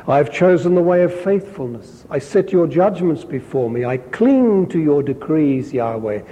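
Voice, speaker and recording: A man reading scripture aloud in a measured, deliberate way, pausing to explain points to his listeners as a Bible teacher. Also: a little high, animated, mellow, long reverb tail, low-quality recording. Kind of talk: slow scripture reading with brief commentary.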